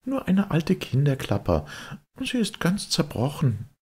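A man's voice: a little high, wildly swooping pitch, measured pace, darkish tone, slightly rough, pitched above the performer's own voice, heavily acted. A man voicing a sensible, anxious little storybook girl, polite, earnest and easily indignant.

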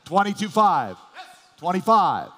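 High-voiced male auctioneer chanting in a hall. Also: big pitch swings, measured pace, a bit bright. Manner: repetitive bid calling, urging the bidders. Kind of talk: rapid-fire live car auction chant. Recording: hall PA with long reverb.

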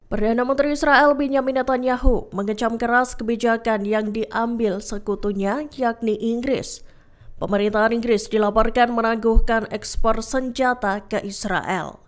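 A woman reading scripted news voice-over in a formal, measured manner. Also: on the high side, fairly even intonation, soft background music. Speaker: news narrator.

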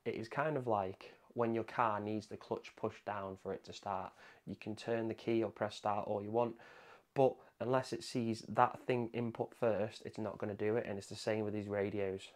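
A man's voice: on the low side, a little mellow. A man presenting a casual hands-on tech tutorial, explaining things in an informal, conversational way. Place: indoor room at a home desk.

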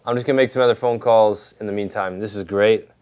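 A man in his twenties hosting a vlog, talking casually and colloquially in an animated manner. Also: on the low side, fast talker, a little hiss or hum. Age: 20s. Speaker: vlog host. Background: music.

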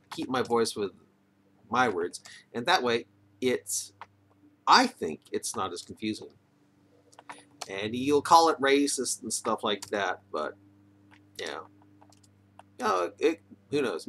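A man's voice: medium pitch, fairly bright tone. A middle-aged man talking casually into a webcam microphone at home, hesitant and often trailing off. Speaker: video maker. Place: indoors, wood-panelled room at home. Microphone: computer or webcam microphone, near arm's length. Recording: noisy webcam microphone with room ambience.

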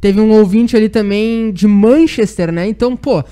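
A man's voice: sits high, moderate pace, dark tone, clean tone. A man with a gaúcho southern Brazilian accent, hosting a live sports radio show in an informal, courteous way.

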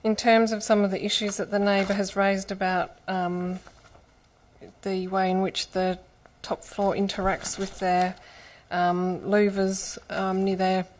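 Female mayor chairing council, steady flat tone, Australian accent, desk mic. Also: mid-range voice, slight background noise. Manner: brisk procedural, then hesitant in debate. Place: large council chamber. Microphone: desk microphone.

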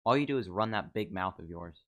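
A man's voice: deep voice, expressive, fairly quick, fairly bright tone. A man voicing a teenage schoolboy in an amateur game movie, laid-back and a bit cocky. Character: teen schoolboy Jacob, cocky yet guarded.

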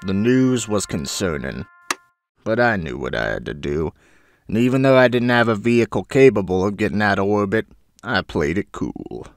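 Man acting a gravelly, boozy space drifter. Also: fairly low pitch, very animated, normal speed, no echo. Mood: wry, laid-back. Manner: folksy, swaggering storyteller. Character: hard-drinking, crude, drawling space drifter telling his tale. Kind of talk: audio drama acting with first-person narration.

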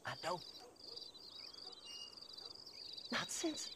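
A man's voice: moderate pace, noisy background, natural voice. A man voicing a deranged, greedy grave-robbing treasure hunter in a video game, with a rural Southern American accent and a manic, erratic, stammering manner. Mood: creepy, muttering. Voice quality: gravelly, cackling. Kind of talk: video game character acting.

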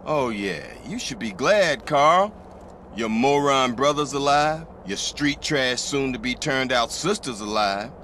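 A man's voice: mid-range voice, expressive, measured pace, fairly bright tone, slight background noise. An actor playing a sadistic, corrupt policeman in a video game, taunting and theatrically menacing. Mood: gloating. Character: sadistic corrupt policeman, mocking male villain. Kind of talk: video game cutscene acting.

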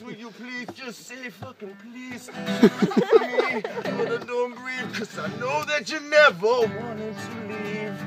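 A man's voice: high voice, rough recording. A young Australian man freestyle rapping, loose and crude, making up rhymes on the spot and giggling between lines. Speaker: amateur rapper. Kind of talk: freestyle rapping over guitar. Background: acoustic guitar playing.

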